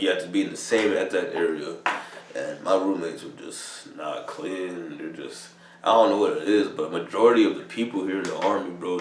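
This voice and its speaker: A man's voice: deep voice, echoey room, distant mic, a little hiss or hum. A man in his thirties from New York, a soldier casually rambling in slangy talk with lots of "bro" and swearing. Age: 30s. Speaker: vlogger, army soldier. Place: army barracks room.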